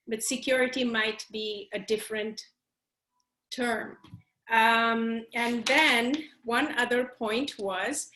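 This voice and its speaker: A woman with a slightly high voice gives feedback in class. She speaks politely and hesitantly, feeling her way through each point. Her video call audio echoes as if from a bare room. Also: sharp tone. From Bangladesh. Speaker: trainee interpreter. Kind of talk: giving peer feedback in a class.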